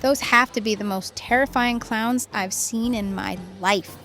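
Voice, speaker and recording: A woman plays a cautious young friend in a horror audio drama. Her voice sits a little high and sounds disapproving and pleading. Some music runs underneath. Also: a little slow, fairly bright tone. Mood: uneasy. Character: worried, sensible young woman, friend of daredevil.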